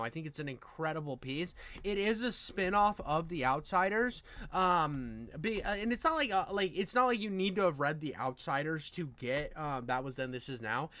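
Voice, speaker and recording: A man's voice: a little high, a bit bright, no echo. A man giving casual reaction commentary in an effusive, rambling, slangy way with plenty of swearing. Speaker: reaction video host.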